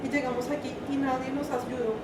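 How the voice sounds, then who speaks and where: A woman from Colombia with a Colombian Spanish accent and a high voice talks at a community meeting, halting in English and then earnest in her own language. She sits well back from the microphone in an echoing room. A loud steady hum runs under everything she says. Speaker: community member. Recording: echoey room, distant mic, loud background hum.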